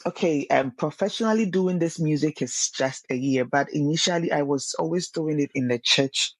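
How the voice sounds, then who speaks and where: A woman's voice: deep voice, normal speed, no echo. A young woman, a singer guesting on a casual podcast, earnest and often repeating words as she searches for them.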